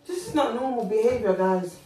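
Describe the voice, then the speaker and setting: A young woman, vlogging in loud, laughing banter full of swearing. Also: on the high side, very animated, a little fast, roomy sound, distant mic, rough recording. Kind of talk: casual chat.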